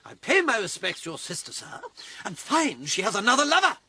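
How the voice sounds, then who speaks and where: An actor playing a pompous, cowardly English army captain with an English accent, haughty and easily flustered, in a period radio drama. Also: male voice, high voice, wildly swooping pitch, a little fast, pushed and bright, breathy edge, no echo, exaggerated voice. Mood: indignant.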